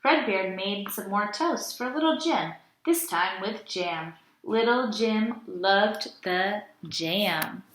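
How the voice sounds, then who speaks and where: A woman teacher reading a storybook aloud to children in an expressive, animated way. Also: medium pitch, takes it slowly, hardly any pauses, roomy sound, poor audio. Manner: animated, addresses children as friends.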